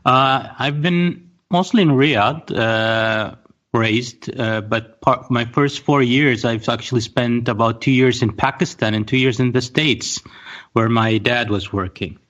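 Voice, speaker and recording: A man's voice: mid-range voice, measured pace, a bit bright, lo-fi sound. A man in his forties from Saudi Arabia, speaking hesitantly with many fillers on a casual podcast. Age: 40s. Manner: hesitant, lots of uhs. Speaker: podcast co-host.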